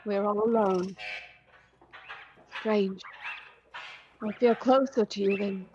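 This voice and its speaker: Radio play role: woman to play a frightened, anguished wife, slow and emotive, over a faintly noisy bed of bird sounds. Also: medium pitch, steady tone, a little mellow, dull audio, close to an everyday voice. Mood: bleak, fearful. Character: frightened, despairing wife trapped in a siege. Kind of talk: dramatic radio play acting.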